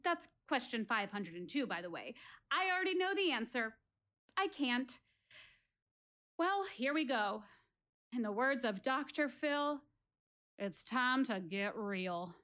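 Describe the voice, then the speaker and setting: High-voiced, wry woman actor addressing an audience. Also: sing-song, bright, forceful tone, exaggerated voice. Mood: dreading, reluctant. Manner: emphatic, addresses audience directly, dramatic pauses. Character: woman quiz-presenter of life's questions, candid, wry, exasperated. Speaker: actress. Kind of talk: theatrical one-woman monologue for an audio play.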